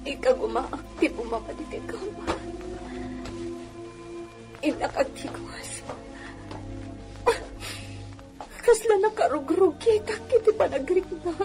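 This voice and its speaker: A woman's voice: high voice, very animated, a little slow, pauses often, over music, heavily acted, rough recording. A woman playing a long-suffering village wife, lamenting plaintively in a melodramatic Ilocano radio drama. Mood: tearful lament.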